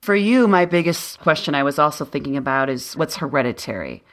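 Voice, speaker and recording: Podcast host: low voice, earnest and personal, conversational interviewer. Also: lively intonation, fairly quick, a bit bright. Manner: earnest, personal, shares own story.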